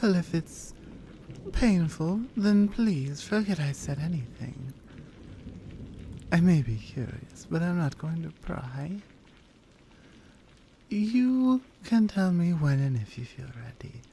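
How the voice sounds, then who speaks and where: A confident, flirty lioness acted out by a woman purrs through an intimate ASMR roleplay in a low, mellow voice. Her delivery is unhurried and breathy, teasing as it slips toward whispers, with lively melodic swings over faint background noise. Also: low-quality recording. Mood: gentle, apologetic.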